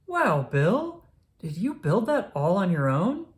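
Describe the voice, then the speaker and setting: A woman voicing an eager, self-assured boy racer in a children's storybook, with an animated, matter-of-fact delivery. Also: mid-range voice, slow pace. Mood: excited, proud.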